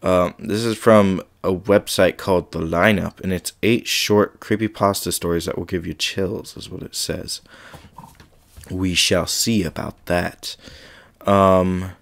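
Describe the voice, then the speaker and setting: Low-voiced man, congested from a cold. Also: fairly even intonation, measured pace, creaky. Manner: casual, hesitant, frequent ums. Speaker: podcast host. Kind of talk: casual chat and scary story reading.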